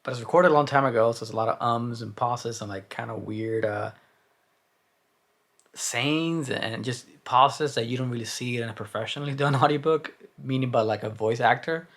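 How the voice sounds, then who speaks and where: A man's voice: medium pitch, normal speed, fairly bright tone. A man with a Cuban accent talking casually to the camera as a book vlogger, chatty and rambling. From Cuba. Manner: chatty and rambling, with frequent uh and restarts. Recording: roomy echo.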